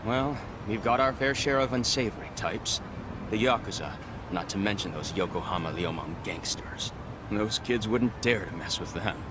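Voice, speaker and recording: A man's voice: fairly low pitch, normal speed, noisy background, lightly acted. An actor playing a polite, weary male restaurant owner in a video game cutscene. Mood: resigned, earnest.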